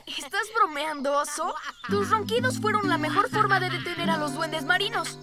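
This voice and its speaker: A woman's voice: very high voice, normal speed. A woman playing an eager, kind young boy turtle in a cartoon dub, earnest and exclamatory as a play captain. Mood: kind, reassuring. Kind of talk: dubbed children's cartoon acting. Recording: music behind the voice.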